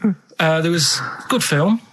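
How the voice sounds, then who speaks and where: A man with a fairly high voice chats as a radio co-host. He rambles through anecdotes in everyday colloquial speech, halting often and asking things in earnest. The tone of the talk is casual comedy. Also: a bit bright.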